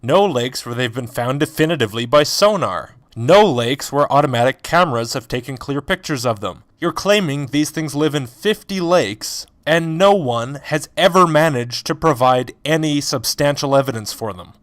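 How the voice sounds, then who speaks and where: A man's voice: mid-range voice, expressive, fairly bright tone, no echo, clean studio sound, natural voice. A man voicing a sarcastic, skeptical male scientist who fires off one argumentative rebuttal after another. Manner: argumentative, rapid-fire rebuttals.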